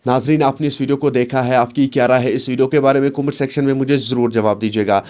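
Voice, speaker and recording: Male online news presenter, mid-pitched voice, speaking straight to viewers in an update format. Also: steady tone, quick pace, a little mellow.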